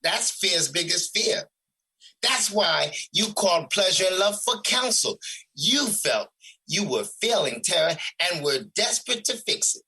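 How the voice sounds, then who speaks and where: Husky, scheming, insinuating Resentment man acting. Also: high-pitched, big pitch swings, measured pace, sharp tone, heavily acted, low-quality recording. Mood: needling. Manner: insinuating, theatrical. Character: Resentment, scheming, manipulative male emotion personified. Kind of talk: audio drama acting.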